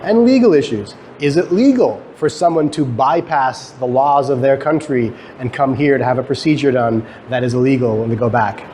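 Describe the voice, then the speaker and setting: A man's voice: mid-range voice, normal speed, few pauses, a little mellow. A man giving an explanatory lecture to students, conversational, posing questions and answering them himself. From Guyana, emigrated to Canada. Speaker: lecturer, academic researcher. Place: lecture room, talk to students. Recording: clearly noisy live lecture recording.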